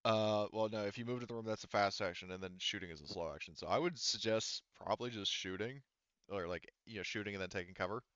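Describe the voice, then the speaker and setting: Clear-voiced man running a game, slightly muffled. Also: lowish voice, quick pace, fairly bright tone, no room sound. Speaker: game master. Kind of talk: running a tabletop RPG session, casual.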